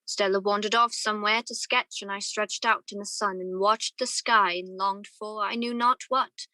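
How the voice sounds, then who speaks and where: Young woman playing a bright-voiced wistful gentleman narrator. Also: mid-range voice, steady tone, normal speed, no echo, lightly acted, poor audio. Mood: wistful, reflective. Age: young adult. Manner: lyrical, reflective storytelling. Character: wistful man recalling his youth, courteous and reflective. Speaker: student actor. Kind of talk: radio play narration and acting.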